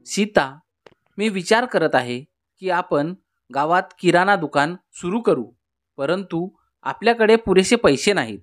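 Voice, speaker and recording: A man's voice: sits high, animated, a little slow. A man playing a poor, worried village father in a cartoon story, speaking earnestly and respectfully. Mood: thoughtful. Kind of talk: scripted cartoon story dialogue.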